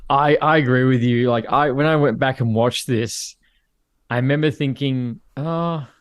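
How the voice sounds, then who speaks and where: An Australian man speaks as a guest podcaster in casual, sweary chat. His voice sits at a middle pitch, laid-back in manner, with a dry sound free of room echo. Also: moderate pace, clear, resonant tone.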